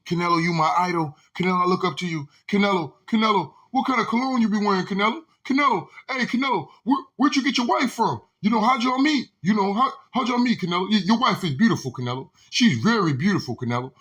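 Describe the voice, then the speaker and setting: A man's voice: sits high, expressive, fast, few pauses, a bit bright, pitched above the performer's own voice. A man putting on a starstruck male fan who fawns over his idol with eager questions. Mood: fawning. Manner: fawning, rising, breathless questions.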